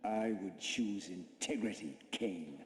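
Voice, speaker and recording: A man's voice: deep voice, slow pace. A man voicing a betrayed undead vampire son in a video game, bitter, proud and brooding, with formal, theatrical speech. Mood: bitter, accusing. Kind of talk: video game drama and narration. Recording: long reverb, background music.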